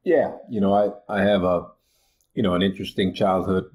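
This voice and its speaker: A man's voice: low-pitched, fairly even intonation, normal speed, darkish tone, slight background noise. A man in his forties, a college basketball head coach from Liberia, speaking earnestly in a podcast interview with many "you know" and "uh" fillers. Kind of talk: podcast interview, reflective storytelling.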